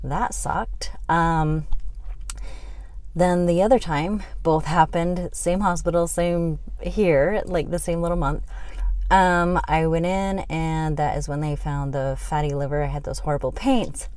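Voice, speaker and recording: A woman's voice: low voice, fairly even intonation, measured pace, a little mellow. A middle-aged woman giving a frustrated, rambling update on her health, venting with many fillers. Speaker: health vlogger.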